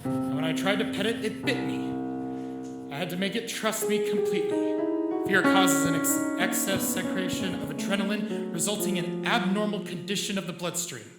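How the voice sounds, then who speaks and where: A coldly zealous, middle-aged man of science played by a male stage actor declaims in old-time radio fashion. His proud, theatrical delivery rings in a roomy live space, with loud music behind him. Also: sits high, normal speed, natural voice. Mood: cold, intent.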